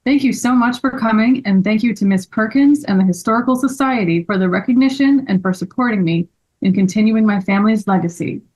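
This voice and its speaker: A woman's voice: medium pitch, fairly quick, darkish tone, lightly acted. A woman playing an earnest, polite, grateful young male shop owner in a table read. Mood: grateful, formal.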